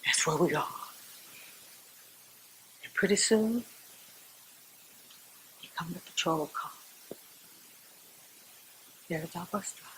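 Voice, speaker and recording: A woman playing a devout, resolute Southern civil rights activist, telling her story to church in a theatrical, folksy monologue with dramatic pauses and repetition. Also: lowish voice, fairly bright tone, slight background noise, low-quality recording.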